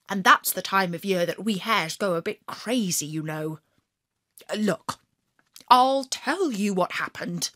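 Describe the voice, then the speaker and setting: A woman narrating a children's story in first person as a boastful hare, playful and lively. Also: fairly high pitch, dry recording, clean studio sound.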